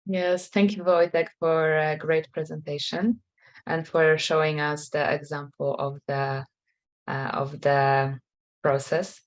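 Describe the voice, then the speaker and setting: A woman's voice: low voice, little pitch movement, takes it slowly. A woman moderating a webinar over a video call with polite hosting remarks.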